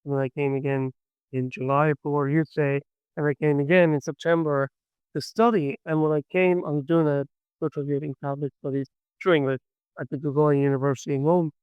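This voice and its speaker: A man's voice: on the high side, little pitch movement, brisk, clear, resonant tone, no room sound, narrow, tinny audio. An Irish man, a young adult folk singer, chatting enthusiastically in an interview and telling stories. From Ireland. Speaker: folk singer-songwriter guest. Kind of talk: casual interview chat.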